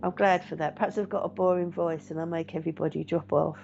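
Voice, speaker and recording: A middle-aged woman, a meditation teacher and medium, chatting gently and reassuringly to viewers with many fillers. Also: deep voice, fairly even intonation, quick pace, vocal fry, a little hiss or hum. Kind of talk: casual live-stream chat reading viewer comments. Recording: dull lo-fi stream audio.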